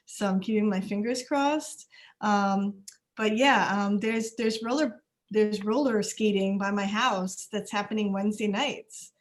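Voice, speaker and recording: A woman's voice: medium pitch, measured pace. A woman from New York chatting casually on a video call. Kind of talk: casual chat.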